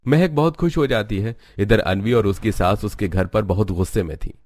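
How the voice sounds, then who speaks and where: Lowish-voiced man narrating a cartoon story. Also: moderate pace, darkish tone.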